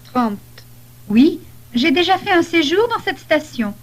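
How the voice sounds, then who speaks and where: A woman playing a polite young holidaymaker in a scripted language-lesson dialogue, speaking deliberately. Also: extremely high pitch, very animated, exaggerated voice. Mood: polite, helpful.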